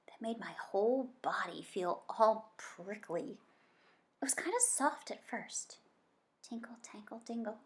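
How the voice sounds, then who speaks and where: A woman reading a children's story aloud in an expressive, dramatic way. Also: mid-range voice. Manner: dramatic, varying from hushed suspense to emphatic excitement. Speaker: children's book reader.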